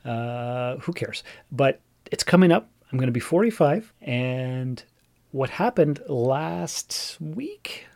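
A man's voice: medium pitch, lively intonation, slow pace, vocal fry, darkish tone. A man in his forties hosting a podcast, musing aloud in a chatty, rambling way. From Canada (Northern Ontario). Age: 40s. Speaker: podcast host. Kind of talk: casual solo podcast monologue.

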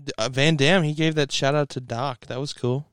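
A man's voice: mid-range voice, steady tone, moderate pace, smooth, no echo, studio quality. A young man hosting a podcast in a laid-back, joking way, swearing freely. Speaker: podcast host. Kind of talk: casual chat.

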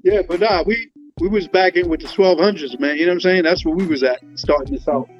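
Slangy chill guy, kinda high voice, lots of "you know what i'm saying", muffled lo-fi audio w faint music. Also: fast talker. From Liberia. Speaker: guest musician. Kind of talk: casual interview talk. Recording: dull lo-fi sound with faint music.

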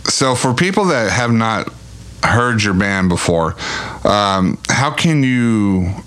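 A man's voice: on the low side, animated, slow pace, a bit bright. A man in his forties hosting a casual podcast interview, folksy and faith-focused, often repeating words as he talks. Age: 40s. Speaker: podcast host.

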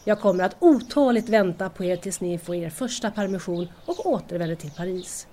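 An actress playing an anxious, yearning young student in love, earnestly confiding a letter in an audio drama. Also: female voice, lowish voice, expressive, mellow. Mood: anxious longing. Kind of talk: audio drama monologue, letter read aloud.

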